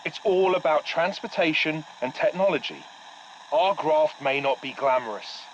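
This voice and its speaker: Muffled radio audio, male actor as proud English army mechanic, higher-set voice, blunt, slangy, rousing, video game. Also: close to an everyday voice. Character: gruff, proud male military mechanics boss rallying his crew. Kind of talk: game audio log, military pep talk. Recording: dull radio-like audio, faint noise.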